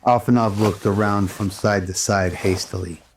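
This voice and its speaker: A man's voice: lowish voice, steady tone, normal speed, a little hiss or hum. A man narrating a scripted radio drama as storyteller.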